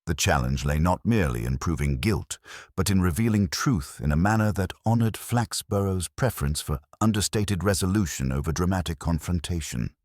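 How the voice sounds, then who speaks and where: A man narrating a mystery audiobook from a script in a measured, formal storytelling manner. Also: low-pitched, animated, a little mellow, dry recording, studio quality.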